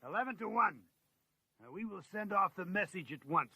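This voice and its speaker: A man's voice: high voice, animated, normal speed, bright, forceful tone, breathy edge, no room sound, heavily acted. A man playing a decisive council leader in a science fiction audio drama, speaking formally and declaiming. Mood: solemn, resolute. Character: decisive male council leader readying for war.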